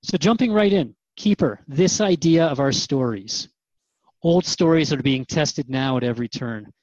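A man's voice: a little high, normal speed, a little mellow, no room sound, muffled recording. A man hosting a radio interview program, conversational and reflective as he addresses listeners and his guest. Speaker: radio host.

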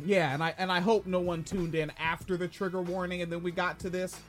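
A man with a noticeably high-pitched voice rambles through a casual live-stream chat, cracking jokes and swearing freely. He talks loosely as a podcast co-host, with faint music under him. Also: level tone, a little fast, a bit bright.

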